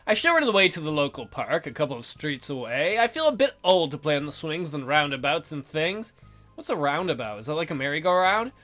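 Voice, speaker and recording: A bright-voiced man with very animated, swooping intonation reads a visual novel aloud as a let's play host. He is theatrical and jokey, slipping in his own commentary. Faint music plays behind him. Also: a little high, fairly quick.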